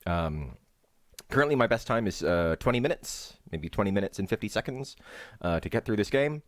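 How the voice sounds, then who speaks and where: A man giving casual, rambling game commentary as a streamer, halting and hesitant, with dry, wry remarks. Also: fairly low pitch, expressive. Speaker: speedrunner and streamer, host. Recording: roomy echo, faint game music behind.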